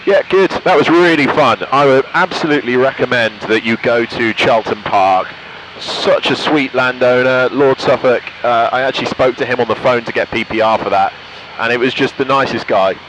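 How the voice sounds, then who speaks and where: A man's voice: mid-range voice, moderate pace. Chatty man over aircraft engine noise. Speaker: pilot and vlogger. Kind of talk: casual flight commentary and radio calls. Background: aircraft engine hum.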